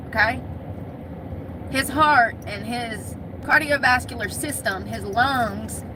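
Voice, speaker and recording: Chatty woman vlogging over street noise. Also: a little high. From Michigan. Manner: chatty, candid, drawling and wisecracking. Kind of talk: casual talking to camera. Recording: noisy outdoor street sound.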